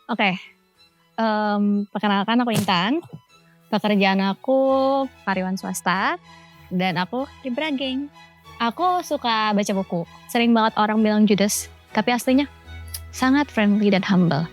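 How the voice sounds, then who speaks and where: A woman's voice: medium pitch, measured pace, long pauses. Woman with mid voice, kinda hesitant dating show intro, music under. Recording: music playing behind the voice.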